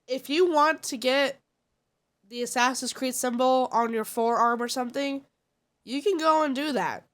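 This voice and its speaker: Woman streamer, slightly high voice, stuffy nose, frank and opinionated casual chat. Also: moderate pace, a bit bright. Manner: blunt and opinionated. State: congested.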